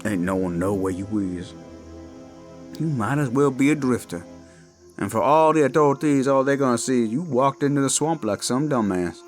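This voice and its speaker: A man voicing a menacing Cajun werewolf of the swamps, with a Cajun accent and a slow, taunting drawl. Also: medium pitch, expressive, close to an everyday voice. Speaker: villain in audio drama. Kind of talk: horror audio roleplay. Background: faint eerie music and crickets.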